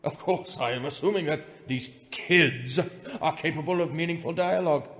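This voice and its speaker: A high-voiced, pompous male executive, played by a man, delivers formal emails as indignant melodrama. His theatrical delivery swells and drops sharply. Long hall reverb surrounds every word. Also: moderate pace, a bit bright. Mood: stern, condescending. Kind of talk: dramatic reading of formal emails.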